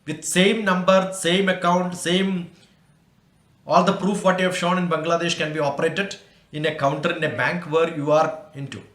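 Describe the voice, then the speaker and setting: A man's voice: a little high, fairly even intonation, normal speed, a bit bright, echoey room. A man with an Indian accent explaining informally as an academic, teaching through everyday examples. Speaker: academic presenter and mathematician.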